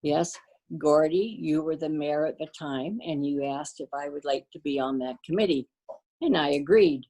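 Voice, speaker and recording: An older woman, born to a Danish-speaking family, reminiscing about her community in a casual interview, pausing often with fillers and restarts. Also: low voice, rough recording. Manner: warm storyteller, halting with fillers and restarts. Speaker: guest.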